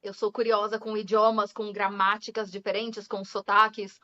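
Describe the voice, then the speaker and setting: A woman's voice: mid-range voice, level tone, moderate pace, sharp tone. A Brazilian woman, an English teacher, chatting casually in an interview with a Brazilian accent, laughing often and slipping English words into her speech. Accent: Brazilian Portuguese. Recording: dull lo-fi sound.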